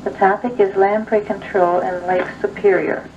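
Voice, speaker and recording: A woman's voice: mid-range voice, level tone, moderate pace. A woman reads a formal introduction on an old tape recording. The sound is dull and distant, with some noise around it. She keeps to a scripted announcer's delivery. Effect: played from a reel-to-reel tape machine. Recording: muffled old tape playback, distant, noisy.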